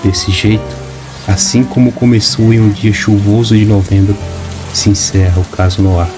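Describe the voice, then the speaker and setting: Guy narrating a story, lowish steady voice, music playing behind. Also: normal speed. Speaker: audiobook narrator. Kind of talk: scripted narration.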